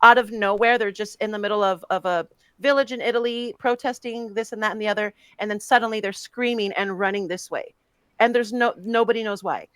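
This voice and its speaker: A woman's voice: mid-range voice, fairly quick, clear, resonant tone, dry recording. A woman co-hosting a casual film podcast, talking animatedly with frequent laughs and many restarts. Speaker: podcast co-host. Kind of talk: casual podcast chat about films.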